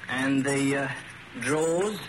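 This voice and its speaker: An actor playing a polite but probing beat policeman in a radio drama, formal and deliberate. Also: mid-range voice, lightly acted. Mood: polite, suspicious. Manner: formal, deliberate, throat-clearing. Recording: noisy old radio drama recording.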